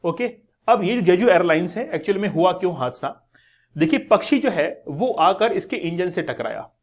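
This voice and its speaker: High-pitched Indian man explaining the news. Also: animated, normal speed. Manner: explains step by step, repeating key words, mixing in English terms. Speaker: educational channel presenter. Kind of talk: explanatory news lesson to viewers.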